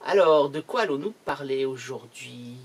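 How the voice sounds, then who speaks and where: Man, husky voice, history lecture, emphatic declamatory narration. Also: medium pitch, lively intonation, moderate pace. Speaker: military history presenter.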